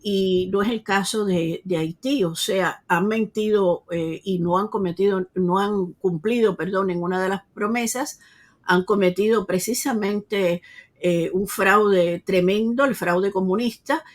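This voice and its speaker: A woman's voice: medium pitch, a little slow. A woman, answering an interviewer earnestly, with frequent hesitations and restarts. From Cuba. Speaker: interview guest.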